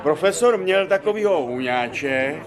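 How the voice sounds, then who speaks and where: An actor playing a matter-of-fact, know-all local in a radio drama street scene. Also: male voice, on the high side, expressive, natural voice. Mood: dismissive. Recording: outdoor street crowd behind.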